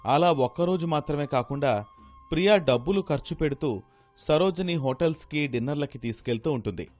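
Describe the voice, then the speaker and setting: A man's voice: a little high, darkish tone. A man narrating a scripted story as a storyteller.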